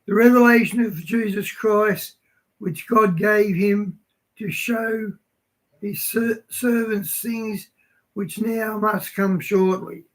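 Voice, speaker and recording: A hoarse man hosting a livestream, reading scripture aloud haltingly and chatting casually. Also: high-pitched, steady tone, poor audio. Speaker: livestream host.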